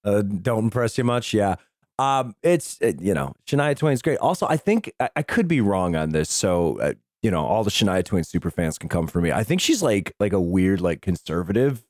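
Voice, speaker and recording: A middle-aged man with a hoarse voice, casually bantering and swearing as a podcast host. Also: on the low side, big pitch swings, moderate pace, darkish tone, no room sound, studio quality.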